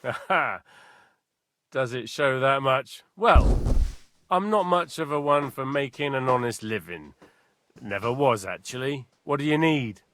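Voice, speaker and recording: A man's voice: medium pitch, animated, unhurried, a bit bright, a little hiss or hum. An actor playing a cheerful, roguish petty thief in a game, telling his story in a boastful, chuckling way. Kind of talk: game dialogue.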